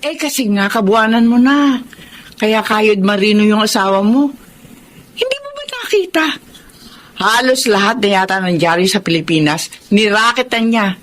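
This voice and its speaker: A woman's voice: mid-range voice, expressive, moderate pace, fairly bright tone, a little hiss or hum, lightly acted. Chatty, gossipy woman acted by a woman. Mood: reassuring. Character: chatty, gossipy, approving family friend. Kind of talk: radio drama acting.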